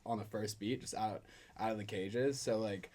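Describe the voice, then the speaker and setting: A man's voice: lowish voice, fairly even intonation, moderate pace, darkish tone. A man giving casual, laid-back feedback on a track as a guest reviewer, with many fillers.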